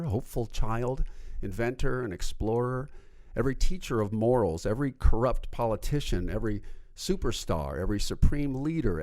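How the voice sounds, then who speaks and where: A man co-hosting a podcast, chatting casually and often agreeing with a quick "right". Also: medium pitch, a little mellow, no echo. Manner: affirming, says "right" often, reads a quote aloud. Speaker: podcast co-host.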